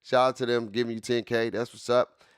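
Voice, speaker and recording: A man's voice: medium pitch, level tone, a little fast, a little hiss or hum. A man in his late forties streaming casually, repeating himself with hype and constantly talking to his chat. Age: 40s. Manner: repetitive, hyped, keeps addressing chat.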